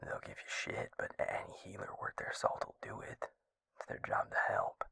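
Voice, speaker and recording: A man playing a gruff, caring vampire healer in an intimate roleplay audio, speaking in a hushed, breathy, gravelly murmur, blunt but tender. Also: deep voice, wildly swooping pitch, normal speed, whispered, exaggerated voice. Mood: concerned, blunt. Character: gruff, caring adult male vampire healer, protective and exasperated.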